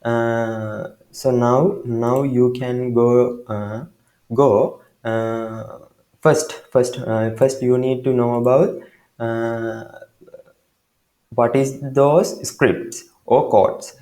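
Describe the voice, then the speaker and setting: A man from Sri Lanka with a Sri Lankan accent, giving a screen-recorded software tutorial in a halting way, with many fillers and restarts. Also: mid-range voice, fairly even intonation, echoey room. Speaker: tutorial maker.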